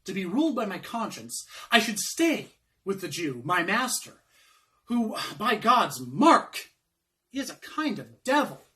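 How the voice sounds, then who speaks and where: A man's voice: sits high, sing-song, unhurried, pushed and bright, recorded from a distance, heavily acted. A man playing a comic, dithering young servant in a Shakespearean monologue, theatrically arguing between temptation and conscience.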